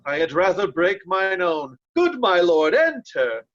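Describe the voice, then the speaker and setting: A woman playing a loyal nobleman who pleads earnestly and gently with an old king in an amateur Shakespeare reading. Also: on the low side, very animated, measured pace, lo-fi sound. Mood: earnest, pleading.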